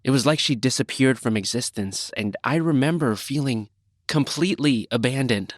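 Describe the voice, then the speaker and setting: Audio drama teen boy, male actor: mid-pitched, open, easygoing, very dry recording. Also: moderate pace, no room sound, natural voice. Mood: wistful. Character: open, good-humoured teenage son.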